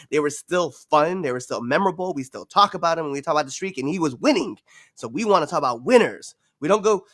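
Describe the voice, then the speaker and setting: A man's voice: mid-range voice, fairly bright tone, no room sound, clean studio sound. A man co-hosting a wrestling podcast, trading jokes in loose banter, laughing often and swearing freely. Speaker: podcast co-host.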